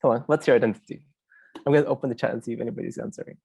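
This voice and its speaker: A man's voice: medium pitch, very fast, vocal fry, darkish tone, husky, no room sound. Malaysian man presenting, close dry sound. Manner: conversational, prompts listeners with repeated questions. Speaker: investigative journalist presenting as a webinar speaker. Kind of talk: informal interactive webinar presentation.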